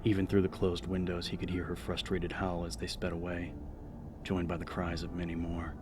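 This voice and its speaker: A man's voice: sits low, completely flat delivery, normal speed, background noise. A man narrating horror fiction in a dramatic, scripted audiobook style.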